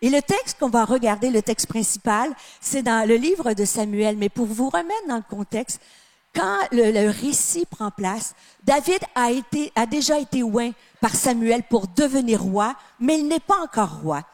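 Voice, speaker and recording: A woman from Canada with a light Québécois accent delivers a sermon in a high, bright-pitched voice. She leans hard on key phrases and stretches them out, then sinks into softer, hushed lines. She speaks to people in the room and to those watching online. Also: animated. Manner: emphatic, drawn-out phrases with sudden drops to a soft tone. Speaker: preacher. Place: church with live and online audience.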